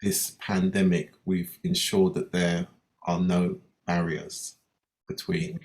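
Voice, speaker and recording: A man's voice: low-pitched, level tone, slow pace, gravelly, darkish tone. A man hosting an interview, making remarks and putting questions to a guest. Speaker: interviewer, host.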